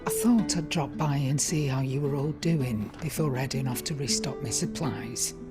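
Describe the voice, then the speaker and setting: A woman's voice: very deep voice, moderate pace, over loud music. An actress playing a brusque, teasing old trader woman in a video game, with a blunt, colloquial manner. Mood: wry. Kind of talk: video game cutscene acting.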